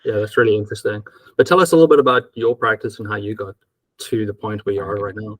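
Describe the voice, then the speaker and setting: Male co-host, South African accent with a Californian tinge, rapid delivery, dry close sound. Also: on the low side, fairly even intonation, a bit bright, dry recording, low-quality recording. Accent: mixed South African and Californian. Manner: brisk, encouraging interviewer prompts. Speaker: co-host, accountant. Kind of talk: casual podcast conversation. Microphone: video call.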